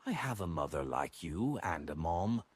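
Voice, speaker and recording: A man's voice: deep voice, big pitch swings, normal speed, husky, full character voice. A man voicing a bitter, resentful young demoness in a fantasy audiobook, speaking accusingly.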